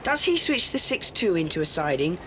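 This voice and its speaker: A woman voicing a decisive boy who leads a children's club in an audio drama, briskly taking charge. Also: on the low side, sing-song, a bit bright, slight background noise.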